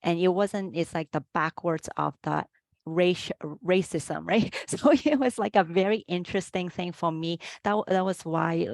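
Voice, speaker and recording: A woman's voice: on the low side, normal speed, gravelly, darkish tone, no echo. A middle-aged woman from Hong Kong, a life coach hosting a casual interview over a video call, chatty with many fillers and restarts.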